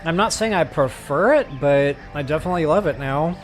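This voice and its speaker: A man's voice: on the high side, expressive, brisk. Man chatting on stream over music. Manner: chatty, addresses viewers as guys and y'all. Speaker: game livestreamer. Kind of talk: casual livestream chat and game commentary. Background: game music.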